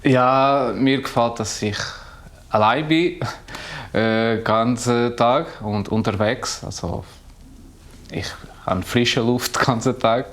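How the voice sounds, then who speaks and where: A man in his thirties, a postal worker, speaking simple halting as an in a documentary interview. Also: mid-range voice, a bit bright. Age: 30s. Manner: simple halting German, laughs often.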